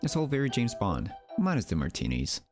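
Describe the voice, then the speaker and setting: A man's voice: mid-range voice, wildly swooping pitch, a little fast, faint music behind. A man delivering scripted documentary narration in a wry, theatrical manner, with rhetorical asides. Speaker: narrator of a mystery documentary channel.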